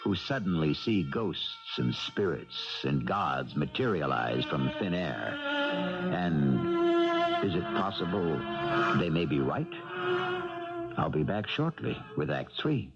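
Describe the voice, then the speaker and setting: A man hosting and narrating a 1970s radio mystery in a dramatic, deliberate, theatrical style. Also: extremely low pitch, huge pitch swings. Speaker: host and narrator. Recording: muffled old radio broadcast, loud music. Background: music.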